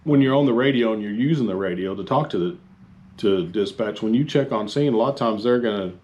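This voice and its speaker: A man giving an informal fire department training talk, phrasing things haltingly and repeating himself. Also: on the low side, darkish tone, lo-fi sound. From Texas, United States.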